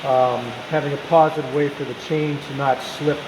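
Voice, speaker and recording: A man's voice: a little high, little pitch movement, moderate pace. Man in a reverberant, noisy workshop. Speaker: workshop host and machinist. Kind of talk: informal hands-on explanation of machinery. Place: indoors in a machine workshop. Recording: reverberant workshop with clear background noise.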